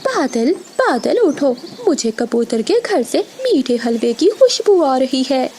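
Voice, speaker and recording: A woman's voice: sits high, big pitch swings, slow pace, dark tone, slight background noise, heavily acted. A woman voicing an eager, coaxing female bird in a children's cartoon. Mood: eager, urging.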